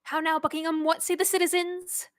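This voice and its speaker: A woman's voice: very high voice, little pitch movement, fairly quick, loud music behind the voice. A student actress playing a scheming, wrathful male would-be king in a Shakespearean radio play, theatrical and commanding. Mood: impatient. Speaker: student actor.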